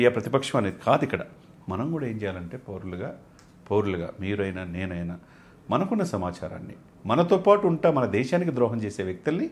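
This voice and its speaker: A man's voice: lowish voice, a little mellow. A man giving impassioned political commentary as a guest analyst, emphatic and rhetorical, repeating phrases in lists.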